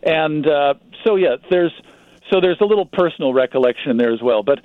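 A man's voice: on the high side, lively intonation, fairly quick, no echo, narrow, tinny audio. A middle-aged American man, a writer and publisher, sharing recollections as an enthusiastic, reflective guest in a radio interview. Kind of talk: anecdotal radio interview talk.

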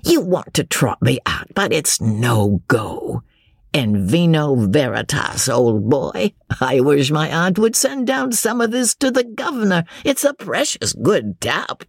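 A narrator performs a jovial young English gentleman deep in his cups in a storybook reading. He brags and rambles in slang, amused by himself, with wildly swinging pitch and a very husky tone. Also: sing-song, slow, no room sound, clean studio sound, heavily acted. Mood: sly, jovial.

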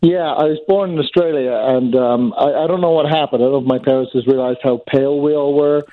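A man's voice: mid-range voice, steady tone, fast talker, no echo. Male comedian calling in, thin phone-line sound, rambling style. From Northern Ireland and England. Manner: self-deprecating, rambling with fillers. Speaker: comedian guest. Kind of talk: casual phone interview.

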